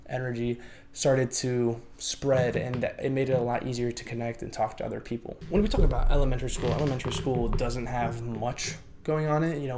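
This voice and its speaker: A man's voice: mid-range voice, darkish tone, a little hiss or hum. A young man whose first language is Lithuanian, raised in the United States, telling his own life story in a reflective, rambling way and often hedging as he searches for words. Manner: reflective, rambling, often hedges with "I would say" and "you know". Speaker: vlogger. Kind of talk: personal life story, unscripted.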